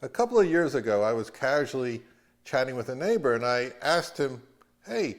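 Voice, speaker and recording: A man's voice: mid-range voice, big pitch swings, normal speed. A man narrating a product review as the host of his own audio channel.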